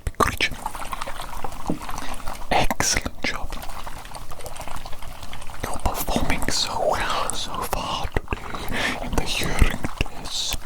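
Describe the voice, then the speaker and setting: Whispered ASMR, woman acting as evil doctor, very slow, deliberate, breathy, repetitive praise, medical exam roleplay. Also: close to an everyday voice, rough recording. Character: evil doctor calmly examining a big creature.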